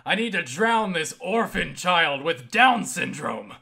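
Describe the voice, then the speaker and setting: Man playing cartoonishly evil game villain: theatrical, gloating, stretched-out delivery, pitched up and bright. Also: big pitch swings, takes it slowly, gravelly, exaggerated voice. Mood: casually evil.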